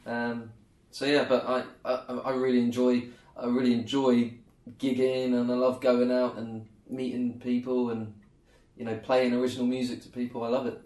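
A man from England chats informally, mostly chiming in with quick agreements before he tells his own story. His pitch is middling, and the room around him echoes. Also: flat delivery, darkish tone. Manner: short agreeing interjections, informal. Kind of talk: casual chat.

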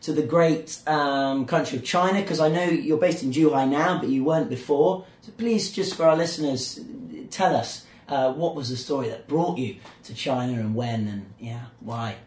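A man hosting a podcast in a casual, joking way, his voice croaky and hoarse. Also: mid-range voice, normal speed, few pauses, darkish tone, roomy sound. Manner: chatty, joking, with filler words. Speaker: podcast host.

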